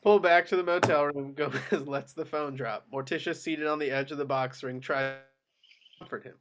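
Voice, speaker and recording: A man's voice: fairly high pitch, fairly even intonation, normal speed, background noise. A man reading screenplay action descriptions aloud as narrator in scripted narration.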